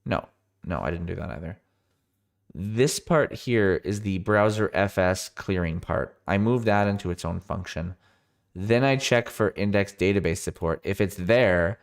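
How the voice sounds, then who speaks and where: A man with a cold and a somewhat low voice talks through code on a live stream. He sounds tired, thinks aloud as he goes, and often catches and corrects himself mid-sentence. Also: animated, moderate pace. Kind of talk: live coding walkthrough.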